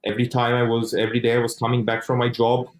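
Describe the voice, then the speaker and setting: A young man speaks in English that is not his first language, recounting his life in an interview. His voice sits at an ordinary middle pitch. He talks earnestly, often repeating and restarting words, and swears casually. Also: monotone, quick pace. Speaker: interview guest. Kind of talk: casual personal story.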